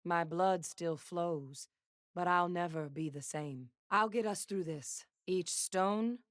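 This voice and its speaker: A resolute woman gunslinger who has come back from death, in a video game. Her voice sits on the low side and is recorded very dry. She is solemn and commanding, haunted yet steady, with a dry, wry wit. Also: slow pace, dry recording. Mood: brooding, resolute. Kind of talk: video game character voice lines.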